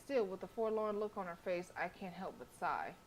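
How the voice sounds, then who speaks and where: A woman's voice: lowish voice, animated, fairly quick, a little hiss or hum. A woman streamer reading a visual novel aloud expressively, with casual, sassy asides. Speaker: game streamer.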